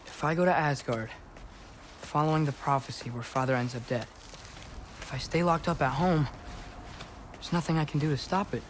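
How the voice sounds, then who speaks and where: A man's voice: a little high, normal speed, long pauses, slight background noise, natural voice. A young man voicing a defiant, anguished teenage boy in a video game, impassioned and often talking to himself. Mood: anxious, musing. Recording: studio voice under game music.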